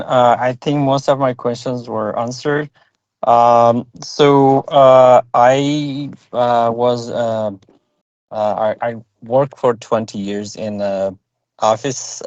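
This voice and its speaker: A middle-aged man who speaks English as a second language, asking questions haltingly and searching for words. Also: medium pitch, smooth, no echo. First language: not English. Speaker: prospective student asking questions. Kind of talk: hesitant spoken questions in an online meeting. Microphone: online meeting call. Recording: dull lo-fi online call audio.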